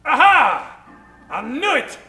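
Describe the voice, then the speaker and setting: A man playing a pompous, secretive traveler in an old-time radio comedy, speaking formally and haltingly. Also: very high-pitched, very animated, pushed and bright, reverberant, soft background music, exaggerated voice. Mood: scheming.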